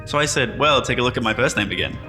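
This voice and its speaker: A man's voice: medium pitch, expressive, quick pace, natural voice. Sci-fi audio drama: male actor as modest, reflective cryosleep survivor with dry humor, speaking in a reverberant hall over music. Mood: amused, candid. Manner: self-deprecating, reflective, conversational. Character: wry, modest man revived from centuries in cryostasis. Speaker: interviewee at a press appearance.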